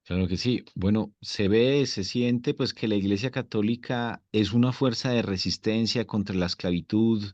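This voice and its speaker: A man's voice: fairly low pitch, normal speed, hardly any pauses, a little mellow, no room sound. Courteous male host on a dull call. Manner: courteous, formal, reads introductions. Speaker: interviewer, host. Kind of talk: formal interview. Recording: dry, dull lo-fi call audio.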